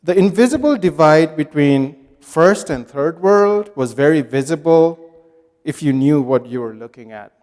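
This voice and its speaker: Nepali-born man giving a talk, slightly higher voice. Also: lively intonation, a little mellow. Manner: measured, reflective storytelling. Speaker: guest speaker. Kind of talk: prepared public talk. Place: lecture hall. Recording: reverberant hall.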